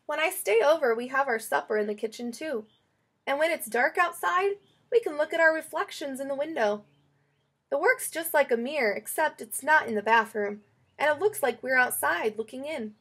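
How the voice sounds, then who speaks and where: A woman reading a children's picture book aloud in an expressive, storytelling way. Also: sits high, moderate pace. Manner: expressive, acts out quoted lines.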